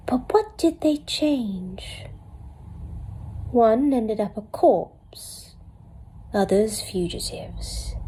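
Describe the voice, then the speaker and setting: Very slow scornful mythic storyteller. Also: female voice, fairly high pitch, mellow, husky, exaggerated voice, poor audio. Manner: measured, contemptuous. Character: scornful, scheming woman storyteller of myth. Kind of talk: dramatic game narration.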